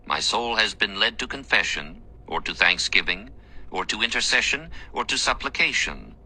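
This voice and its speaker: A man narrating a scripted devotional text in an earnest, measured and formal manner. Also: low-pitched, sharp tone, husky, rough recording.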